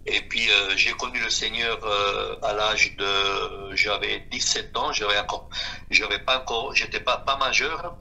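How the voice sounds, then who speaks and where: A man of fifty-six from Switzerland speaks in a halting, repetitive way as he gives an unscripted personal testimony. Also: lowish voice, steady tone, vocal fry, sharp tone, poor audio. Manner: halting, repeating himself. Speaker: guest giving a personal testimony.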